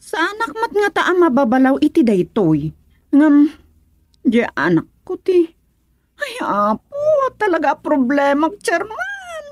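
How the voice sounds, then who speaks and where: Worrying village mum for radio comedy woman performer, lively swings in a high voice. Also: unhurried, dry recording, heavily acted. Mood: flustered, pleading. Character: fretful village mother of a schoolboy. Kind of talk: Ilocano radio comedy drama.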